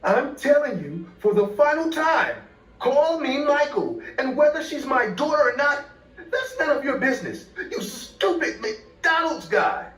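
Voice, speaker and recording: A man's voice: a little high, normal speed, soft background music, pitched above the performer's own voice. A man voicing a creepy, menacing father in a dramatised horror story, swinging between falsely sweet coaxing and angry outbursts. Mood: irritated, menacing. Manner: switches between screaming and creepy calm coaxing. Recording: echoey room, away from the mic.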